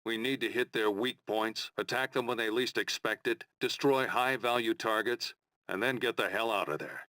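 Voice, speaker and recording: An actor playing a commanding rebel leader in a video game, giving a measured, blunt scripted briefing with authority. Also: male voice, lowish voice, fairly even intonation, bright, forceful tone, dry recording. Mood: resolute briefing.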